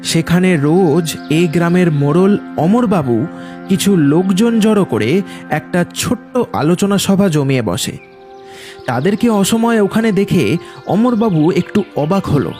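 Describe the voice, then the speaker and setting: A man narrates a ghost story with scripted drama. His voice sits a little high, and soft music plays behind him. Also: animated, unhurried.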